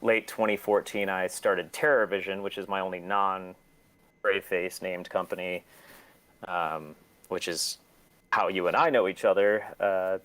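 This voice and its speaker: A man's voice: deep voice, steady tone, unhurried, fairly bright tone, low-quality recording. A man talking casually as an interview guest, rambling in a self-deprecating way and swearing freely. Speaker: interview guest, record label and shop owner.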